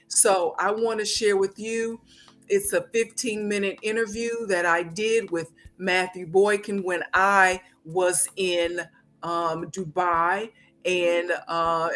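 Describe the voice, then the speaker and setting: A woman hosting an interview show, talking slowly and thinking aloud with pauses and fillers. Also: lowish voice, a bit bright. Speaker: host. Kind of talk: interview podcast.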